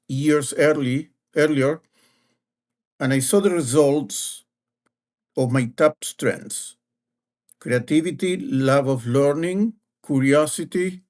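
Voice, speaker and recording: Slow-speaking man with an Argentine accent. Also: on the high side, darkish tone. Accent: Argentine Spanish. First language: Spanish. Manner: slow, measured storytelling with pauses. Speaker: guest, leadership advisor. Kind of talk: personal story in an interview.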